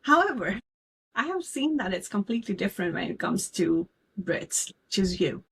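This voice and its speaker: A woman's voice: medium pitch, sing-song, moderate pace, vocal fry, a bit bright, husky. A young adult woman from Nepal co-hosting a casual podcast, talking earnestly and candidly about her worries. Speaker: podcast co-host.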